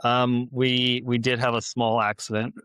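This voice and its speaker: A man's voice: medium pitch, level tone, measured pace, a bit bright, clear, resonant tone, dry recording. A man who grew up in Los Angeles, answering questions conversationally as an interview guest. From born in Ukraine, grew up in Los Angeles, United States. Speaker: podcast guest, real estate investor and former Navy officer.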